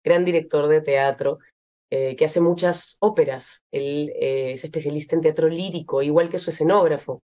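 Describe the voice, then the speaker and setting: A young Peruvian woman with a Peruvian Spanish accent, an actress being interviewed, speaking thoughtfully with many pauses and fillers. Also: sits low, fairly even intonation, mellow, highs cut off. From Peru. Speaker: actress guest. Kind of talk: interview conversation.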